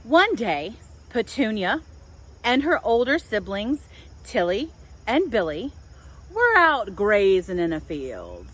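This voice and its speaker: A woman hosting a children's storytime outdoors, telling things in simple, deliberate phrases for small children. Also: medium pitch, wildly swooping pitch, fairly bright tone. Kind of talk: storytelling and guided talk for young children. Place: outdoors in a public park. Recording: outdoor park with faint background noise.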